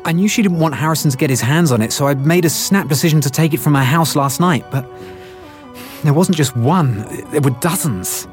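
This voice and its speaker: A man's voice: fairly high pitch, expressive, fairly quick, soft background music, close to an everyday voice. Actor as uneasy, earnest male scientist. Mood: shaken, earnest. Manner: hesitant, earnest. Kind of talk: full-cast audio drama acting.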